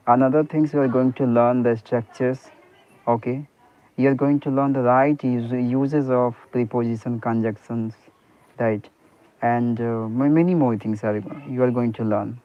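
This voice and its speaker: Man on a tinny line. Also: medium pitch. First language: Hindi. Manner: earnest, repeats "you know" and "okay" often, restarts sentences. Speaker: private teacher. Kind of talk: English speaking practice conversation.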